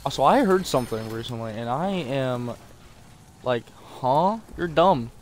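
Game gunfire and noise sit behind a man's mid-pitched voice. He rambles casually as he plays, swearing often and putting himself down. His throat is a little sore. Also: animated, measured pace. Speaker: gaming video host. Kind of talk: casual gameplay commentary. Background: zombie shooter gunfire and ambience.

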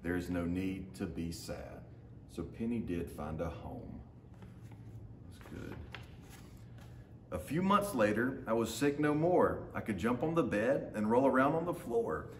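A man's voice: fairly low pitch, expressive, unhurried. A man in his thirties reading a children's picture book aloud to students as their teacher, with short asides to his listeners. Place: large open indoor lounge with hard floor. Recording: roomy echo, faint background noise.

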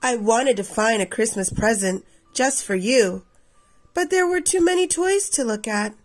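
A woman in her thirties reading a picture book aloud expressively for children, with a slightly husky, creaky voice. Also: a little high, unhurried, faint music behind. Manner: addresses children directly. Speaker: read-aloud storyteller for children.

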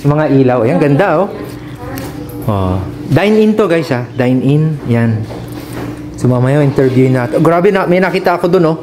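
A man in his thirties giving a casual, excited walkthrough vlog of an old house restaurant, filmed on a handheld phone. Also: a little high, moderate pace. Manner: excited, exclaiming, pointing things out. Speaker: travel vlogger. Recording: noisy room ambience.

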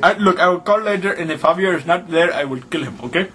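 High-pitched pushy foreign prank caller. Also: male voice, fast talker, fairly bright tone, slight background noise. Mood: exasperated, insistent. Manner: indignant, repetitive broken English without contractions. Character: pushy, absurd, crude foreign male phone caller. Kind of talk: improvised prank phone call.

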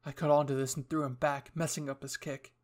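A man's voice: fairly high pitch, little pitch movement, fairly quick. A young man reading scripted material as the narrator and host of a story channel.

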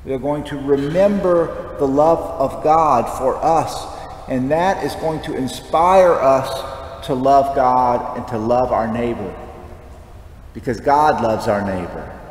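A man's voice: medium pitch, takes it slowly, darkish tone. Man preaching in an echoey church. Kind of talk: homily, conversational preaching. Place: large church, at the lectern. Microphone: headset mic. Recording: echoey church with long reverb, background noise.

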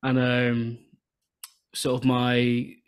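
An English man in his thirties from southern England, hosting a podcast over a video call with a soothing, relaxed cadence. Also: medium pitch, very slow, clear, resonant tone. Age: 30s. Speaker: podcast host. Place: home room with shelves and lights. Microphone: podcast microphone on video call.

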